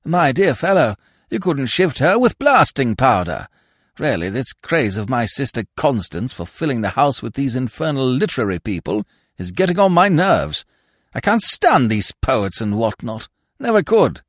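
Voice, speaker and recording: A man's voice: mid-range voice, sing-song, moderate pace, no echo, clean studio sound, voice pushed up. A man voicing a fussy, absent-minded old lord in an audiobook, with a stammering, blurting way of talking. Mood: exasperated.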